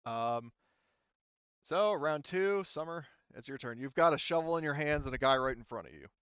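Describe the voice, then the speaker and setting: A man's voice: a little high, very animated, moderate pace, a bit bright, clear, resonant tone, dry recording, dull audio. A man running a tabletop game as game master, casually narrating turns and rules, hesitantly thinking aloud as he goes.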